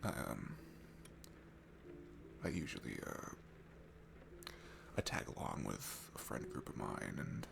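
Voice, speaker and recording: A man playing a shy, nervous, sweet young guy at a bar in an intimate ASMR roleplay, stammering and hesitant, with a soft gravelly voice that drops into hushed asides. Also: rumbling low, very animated, takes it slowly, pauses often, background noise, full character voice. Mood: flustered, nervous. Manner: hesitant, stammering, self-deprecating, breaking into hushed breathy asides. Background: music.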